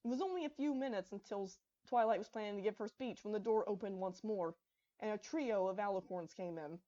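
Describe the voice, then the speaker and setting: A woman's voice: mid-range voice, fairly quick, warm tone. Woman narrating, slightly dull recording. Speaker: fan fiction reader and narrator. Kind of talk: scripted story narration.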